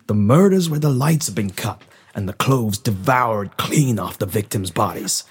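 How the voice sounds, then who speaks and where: Man as a husky, smug skunk-man interrogator. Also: fairly low pitch, lively intonation, exaggerated voice. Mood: taunting menace. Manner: pompous, taunting, deliberate. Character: smug, menacing male skunk-man interrogator, pompous crime fighter. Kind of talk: comic audio drama acting.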